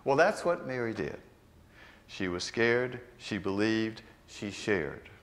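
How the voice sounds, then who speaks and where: An older man, a priest, delivering a sermon in a large church, slowing down to stress key phrases. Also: fairly low pitch, sing-song, a bit bright. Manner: unhurried, earnest preaching with slowed emphatic phrases.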